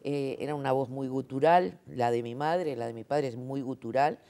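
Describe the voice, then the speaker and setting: A woman's voice: very deep voice, normal speed, warm tone. A woman, interviewed as a sign language interpreter, explaining her views emphatically, with many fillers and rhetorical checks. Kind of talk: conversational interview.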